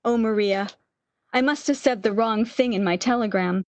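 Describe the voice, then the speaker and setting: An actress plays a sick, bedridden woman in a radio drama, high in voice and recorded very dry. She is anxious and full of regret, pleading and questioning as she longs for a lost love. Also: moderate pace, a little mellow, dry recording, lightly acted. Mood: anxious, regretful. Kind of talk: radio play acting.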